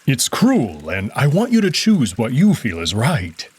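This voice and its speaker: Man doing a male wolf nobleman, gentle, caring, formal, husky, lively pitch. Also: measured pace, full character voice. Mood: tender. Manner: soft-spoken, caring, formal.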